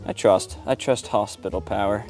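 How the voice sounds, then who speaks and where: A man's voice: lowish voice, normal speed, over music. A laid-back man chatting casually and informally as a sound engineer vlogging his setup and testing microphones. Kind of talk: casual vlog chat with mic checks.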